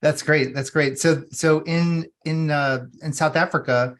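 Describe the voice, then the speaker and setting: A man's voice: a little high, fairly even intonation, unhurried, fairly bright tone. A man hosting an interview, asking long, rambling questions full of restarts and fillers. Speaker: podcast host.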